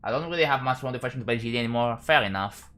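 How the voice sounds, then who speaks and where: A young man from Indonesia with an Indonesian accent, chatting casually on a live stream, rambling and halting with frequent restarts. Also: medium pitch, a bit bright. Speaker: livestreamer.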